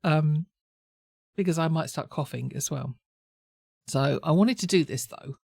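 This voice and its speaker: A woman's voice: deep voice, measured pace, gravelly, mellow, breathy edge, no room sound, studio quality. A woman hosting a reaction video, talking in a rambling, self-correcting chatty way while stuffed up with a cold and a sore throat. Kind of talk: casual chatty commentary.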